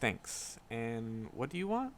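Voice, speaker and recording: Man acting as a slow-talking, shy magic professor. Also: medium pitch, sing-song, vocal fry, a little hiss or hum, heavily acted. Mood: nervous, friendly. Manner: stammering, hesitant, repeats words, flustered. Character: shy, nervous young male magic professor, earnest and skittish. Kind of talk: roleplay audio drama, spoken to the listener.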